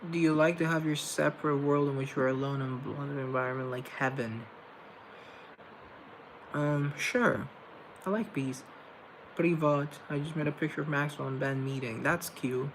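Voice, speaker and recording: A young man from Colombia hosts a relaxed livestream, rambling as he reads viewer comments. His voice carries a gravelly fry, and steady background noise hums under a laptop mic. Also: mid-range voice, measured pace, pauses often, poor audio. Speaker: livestream host reading chat. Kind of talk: casual livestream chat.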